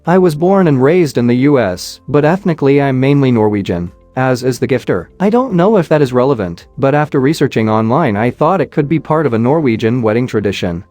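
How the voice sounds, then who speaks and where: A man giving measured, scripted narration, reading questions and answers evenly with no fillers. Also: mid-range voice, warm tone, no room sound.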